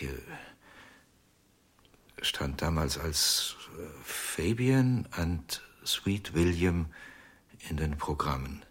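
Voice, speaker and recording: Husky, deep-voiced, haunted ventriloquist. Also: male voice, a bit bright, full character voice. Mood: reluctant, confessing. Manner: halting, haunted, breaking into near-whispers. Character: anguished, jealous male ventriloquist confessing to lost love. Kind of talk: German radio crime drama acting.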